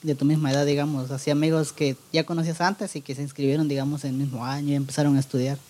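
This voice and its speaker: A man's voice: a little high, little pitch movement, very fast, mellow. A man hosting a casual interview. He prompts his guest and often finishes his sentences. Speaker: podcast host, interviewer.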